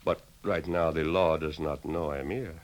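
An actor playing a courtly, flattering Mexican gunslinger with a Mexican accent in a 1950s radio western. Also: male voice, a bass voice, normal speed, highs cut off, a little hiss or hum. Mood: sly. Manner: courtly, sly, flowery phrasing. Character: masked hero posing as smooth, flattering Mexican gunslinger.

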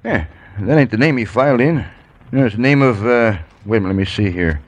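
An actor playing a folksy, hesitant older land-office clerk in a 1950s radio drama. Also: male voice, medium pitch, lively intonation, fairly quick, highs cut off, lightly acted.

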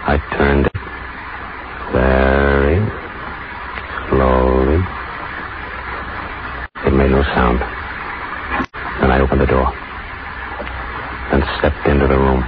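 An actor playing an anxious, lovestruck man plotting a crime, in tense, halting 1940s radio thriller style. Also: a bass voice, monotone. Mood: brooding suspense. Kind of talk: 1940s radio thriller acting, first-person narration. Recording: noisy, muffled 1940s radio recording.

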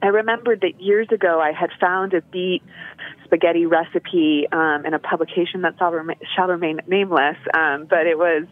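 A woman's voice: fairly low pitch, steady tone, normal speed. A young woman calling in as a guest, talking casually and laughing often, with many fillers. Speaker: guest on a phone-in. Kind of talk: casual phone interview. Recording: tinny phone line.

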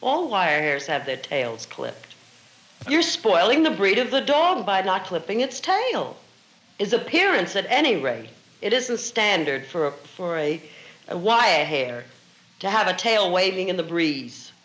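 A woman's voice: medium pitch, sing-song, unhurried, fairly bright tone. A woman interviewee stating an opinion in an emphatic, insistent way. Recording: echoing 1950s location tape.

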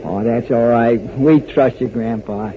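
An actor playing an eager, earnest and polite young hero in 1940s radio drama style. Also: male voice, mid-range voice, unhurried, natural voice. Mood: friendly, candid. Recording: muffled 1940s radio recording, faint noise.

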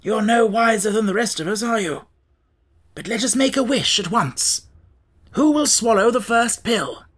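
A woman's voice: on the high side, expressive, normal speed, pitched above the performer's own voice. A woman voicing a blunt, practical straw scarecrow man from a storybook, talking in a wordy, wry, emphatic way. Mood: matter-of-fact.

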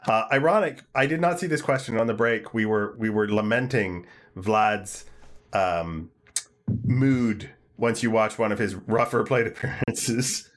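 A man's voice: on the low side, measured pace. A man hosting a podcast in casual chat, laughing often and restarting his phrases. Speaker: podcast host.

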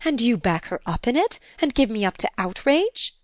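A woman reading aloud and voicing a wrathful, scornful stepmother from period fiction in a loud, vehement manner. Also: medium pitch, moderate pace, no room sound, close to an everyday voice. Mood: indignant.

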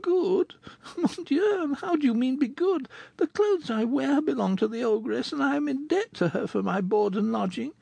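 Fleur de Marie, a man in falsetto: a plaintive young girl. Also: expressive, normal speed, vocal fry, a little mellow, breathy edge, no echo, full character voice. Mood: despairing. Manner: plaintive, sighing. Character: poor sixteen-year-old girl, sorrowful and gentle. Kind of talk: audiobook character reading.

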